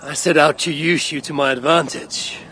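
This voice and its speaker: A man's voice: fairly high pitch, fairly even intonation, normal speed, pushed and bright, slight background noise. A man playing a fierce, grieving Norse god and devoted father, grave and intense, in a video game cutscene. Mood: grimly resolved.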